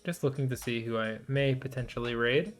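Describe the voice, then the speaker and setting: A man's voice: medium pitch, fairly even intonation, fairly quick. Casual male streamer rambling over music. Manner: rambling, thinking aloud. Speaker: livestreamer, VTuber host. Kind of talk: casual livestream chat. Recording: music behind the voice. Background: background music, guitar.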